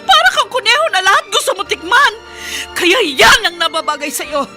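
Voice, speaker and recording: A Filipino actress playing a married schoolteacher, friendly yet wistful, in a radio drama. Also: female voice, very high-pitched, lively intonation, moderate pace, music in the background. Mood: furious, menacing. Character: married schoolteacher woman, friendly, wistful about being childless. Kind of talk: Tagalog radio drama acting.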